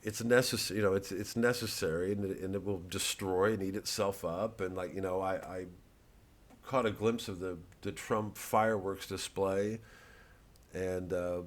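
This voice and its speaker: A man, a satirical comedian, rambling casually and thoughtfully in a halting, digressive monologue with some swearing. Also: deep voice, steady tone. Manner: halting, ruminative, digressive, profane.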